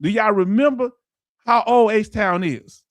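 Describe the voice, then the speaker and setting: A man's voice: high-pitched, unhurried, no room sound. A man who teaches a livestream audience in loose, folksy talk and quizzes viewers with repeated questions. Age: 50s. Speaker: veteran benefits streamer. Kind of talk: livestream lesson answering chat.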